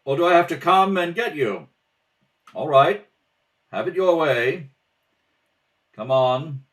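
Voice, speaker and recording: A man's voice: fairly high pitch, expressive, slow, recorded from a distance. A man playing a cool, wry, take-charge detective husband in an amateur radio mystery read-through. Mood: bold, reassuring. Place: at a kitchen table at home.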